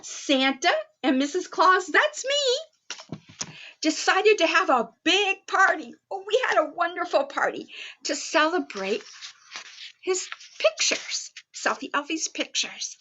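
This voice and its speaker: High-pitched woman storyteller, slow and bright. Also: very animated, lo-fi sound, slight background noise. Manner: sing-song, child-directed delivery with simple reassurance. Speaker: storyteller playing Mrs. Claus, reading a picture book. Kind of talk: children's story time read-aloud with asides.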